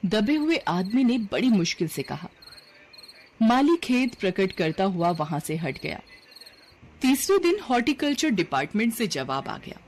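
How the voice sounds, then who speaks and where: Woman, story narrator, lively swinging intonation, expressive, faint noise behind. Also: mid-range voice, a little slow.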